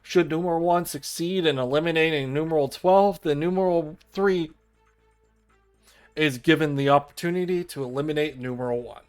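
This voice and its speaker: A man streaming a visual novel, reading the game text aloud in a formal, measured storytelling style. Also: fairly high pitch, clear, resonant tone. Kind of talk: dramatic read-aloud narration of game text. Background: video game music.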